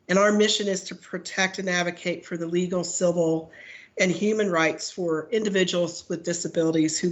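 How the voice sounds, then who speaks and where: A hoarse woman giving measured prepared testimony at a legislative committee hearing, with frequent "um"s. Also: on the low side. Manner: measured, read-out delivery with frequent "um". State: hoarse from a cold.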